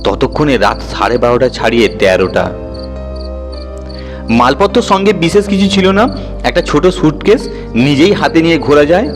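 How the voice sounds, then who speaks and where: A man narrating a horror story in the first person with an expressive, dramatic storytelling delivery. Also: fairly high pitch, normal speed, long pauses. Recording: music bed under the voice. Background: music.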